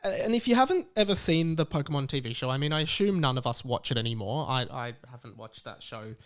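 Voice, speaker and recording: Clear-voiced young Australian man hosting a podcast. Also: medium pitch, lively intonation, quick pace, dry recording, studio quality. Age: late 20s. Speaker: podcast host. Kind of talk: casual podcast monologue.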